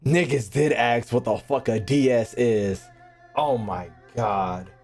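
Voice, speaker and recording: A man's voice: medium pitch, slow, gravelly, darkish tone. Brash young American man streaming a game. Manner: slangy, brash, joking. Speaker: gaming streamer. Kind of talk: casual live game commentary. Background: faint game music.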